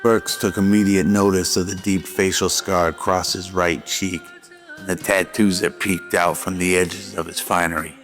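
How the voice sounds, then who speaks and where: A man's voice: low-pitched, level tone, normal speed, a bit bright. A man narrating a scripted noir radio drama. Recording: music behind the voice.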